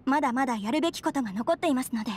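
A young lady of a noble house in an anime game. Her voice sits high, and she speaks with earnest, dignified courtesy in formal phrasing. A low noise runs faintly underneath. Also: lively intonation, very fast. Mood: grateful. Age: young adult. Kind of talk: Japanese anime game voice acting.

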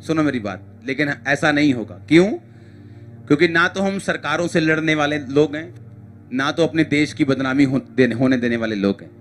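Man, middle-aged pastor, high voice, emphatic sermon delivery, handheld mic, music behind. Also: normal speed. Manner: exhorting, emphatic, with repeated phrases for effect. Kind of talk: impassioned church sermon to a congregation.

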